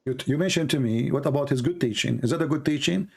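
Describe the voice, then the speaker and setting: A man's voice: mid-range voice, fast talker, vocal fry, husky. Argumentative man, Arabic native speaker. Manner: forceful, argumentative, rhetorical questions. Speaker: debate host. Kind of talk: heated debate.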